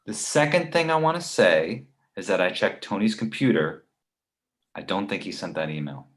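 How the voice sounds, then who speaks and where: Guy doing nervous naggy buddy, lowish voice that swings a lot, argumentative, table read. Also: lively intonation, moderate pace. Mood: cautioning. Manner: argumentative, worrying aloud. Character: Victor, nervous nagging male confidant of a writer. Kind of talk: screenplay table read acting.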